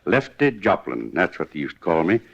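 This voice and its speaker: A man's voice: low voice, sing-song, a little fast, full character voice. An American man playing a proud, injured former ballplayer who talks in a folksy, plainspoken ramble, in 1950s radio style. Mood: wistful pride. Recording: muffled 1950s radio recording.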